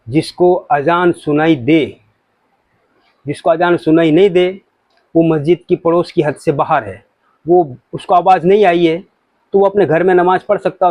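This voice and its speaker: A man's voice: on the high side, no room sound, highs cut off. A man giving an informal religious talk to viewers, explaining points in an explanatory way with examples. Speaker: religious commentator.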